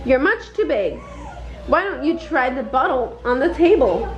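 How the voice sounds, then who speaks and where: A woman's voice: high voice, sing-song, measured pace. A woman voicing an apologetic, matter-of-fact talking doorknob in a children's storybook. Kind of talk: children's storybook character reading. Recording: dull, roomy, faint background noise.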